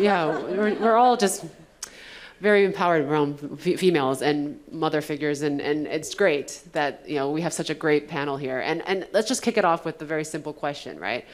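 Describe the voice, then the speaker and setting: Woman, moderator, low pitch, upbeat, repeats words. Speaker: panel moderator.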